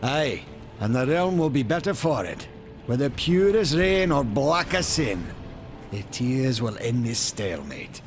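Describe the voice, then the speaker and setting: An actor playing a boastful, wry male maker in a video game cutscene, talking in a chatty, animated way. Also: mid-range voice, unhurried, faint music behind. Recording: game score behind.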